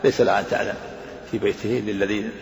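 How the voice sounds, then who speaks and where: A man teaching as a sheikh, explaining hadith texts in a measured way and repeating key phrases. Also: lowish voice, fairly even intonation, vocal fry, a little hiss or hum. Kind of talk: classical Arabic lesson explanation. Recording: echoey hall, faint ambience.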